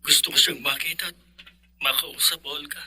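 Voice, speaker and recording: A man's voice: on the high side, fairly even intonation, normal speed, pushed and bright, husky, dry recording, exaggerated voice. A man playing a worried young husband in a Filipino radio drama, speaking earnestly and urgently. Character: worried young husband searching for his missing wife.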